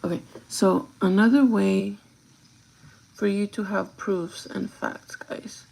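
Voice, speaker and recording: A young woman with a gravelly, groggy voice chats casually and directly to her viewers, giving advice in an informal vlog style. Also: mid-range voice, fairly even intonation, slow, a little mellow, rough recording. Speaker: vlogger chatting while doing makeup.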